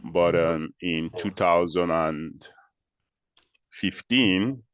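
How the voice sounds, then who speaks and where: A man from Nigeria, a guest in an interview, explaining his work in a measured way with pauses and restarts. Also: low voice, a bit bright, no echo, clean studio sound. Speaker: interview guest, social entrepreneur. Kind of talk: conversational interview answers.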